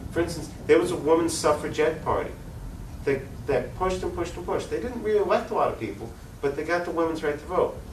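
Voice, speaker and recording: An American man, an activist being interviewed, explains things in an earnest, didactic way with emphatic repetition. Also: mid-range voice. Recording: roomy, distant mic, faint noise.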